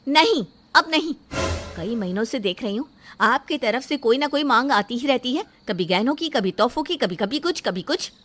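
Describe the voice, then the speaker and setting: A woman plays a defiant young bride-to-be in a moral story drama. Her high voice is sharp and very animated, with firm, emphatic delivery and an indignant, self-respecting temper. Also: normal speed, slight background noise. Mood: resolute.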